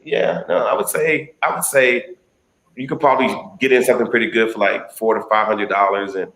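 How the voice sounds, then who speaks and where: A man from the United States answering interview questions in a relaxed, conversational way over a video call. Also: on the low side, brisk, reverberant, low-quality recording. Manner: relaxed, conversational, many fillers. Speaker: guest.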